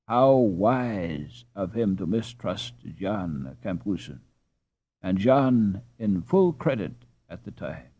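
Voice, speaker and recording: A man's voice: on the low side, a little mellow, heavily acted. A man voicing a middle-aged peasant mother in a storybook, practical and fond, with old-fashioned exclaiming turns of phrase.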